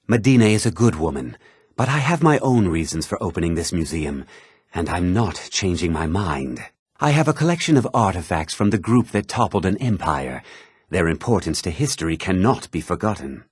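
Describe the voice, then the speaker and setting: An actor playing an obsessed, earnest museum keeper with cult roots in a fantasy video game, in a theatrical, rough-edged voice. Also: male voice, on the low side, dry recording, studio quality. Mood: resigned, firm. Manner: theatrical, earnest, swinging delivery. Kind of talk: scripted video game dialogue.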